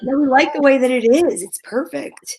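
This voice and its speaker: High-pitched woman co-hosting. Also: moderate pace, a little mellow. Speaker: co-host. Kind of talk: casual live chat.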